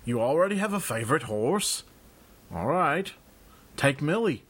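A man voicing a gruff, brisk male squad sergeant who gives orders. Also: a little high, big pitch swings, a bit bright, voice pushed up, full character voice. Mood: commanding. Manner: brisk, commanding.

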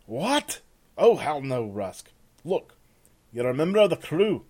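A man voicing a friendly male sergeant who gives loud, emphatic orders. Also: a little high, big pitch swings, takes it slowly, voice pushed up, full character voice. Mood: commanding.

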